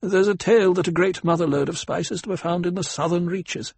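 A grave desert scientist, as a man puts him on, crisp and lecturing. His husky voice sits noticeably higher than the narration, recorded dry. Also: fairly quick, darkish tone, dry recording, exaggerated voice. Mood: authoritative.

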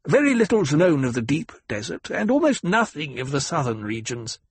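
An oily banker, as a man voices him, polite on the surface with venom underneath. The tone is husky and close to the narrator's own. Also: a little high, big pitch swings, normal speed, no echo, voice pushed up, full character voice. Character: uneasy male banker, falsely apologetic.

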